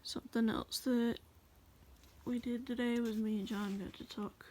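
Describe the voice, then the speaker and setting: A woman casually reading her journal aloud as a voice-over, in a rambling, halting way. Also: on the high side, fairly even intonation, dark tone, a little hiss or hum. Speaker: journal flip-through video creator.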